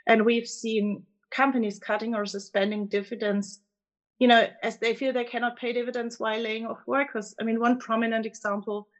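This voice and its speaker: A woman's voice: a little high, level tone, a little fast, dull audio. A woman with a German accent, a guest analyst explaining dividend trends in a conversational interview, fluent with frequent fillers.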